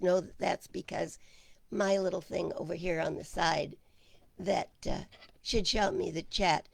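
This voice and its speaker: A woman minister hosting a casual online service, chatting with viewers and greeting them by name, her voice hoarse and gravelly. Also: mid-range voice, no room sound. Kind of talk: casual livestream chat with viewers.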